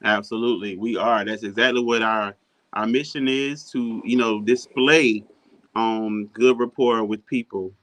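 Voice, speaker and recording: A man's voice: on the low side, fairly even intonation, measured pace, fairly bright tone. Man co-hosting a casual podcast. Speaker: podcast host. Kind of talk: casual conversational interview.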